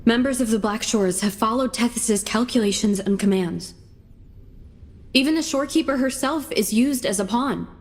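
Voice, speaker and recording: Woman playing a resolute, high-voiced young heroine. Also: close to an everyday voice. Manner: measured, earnest speechmaking. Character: resolute, idealistic young heroine, calm inspiring leader. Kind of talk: English game dub acting.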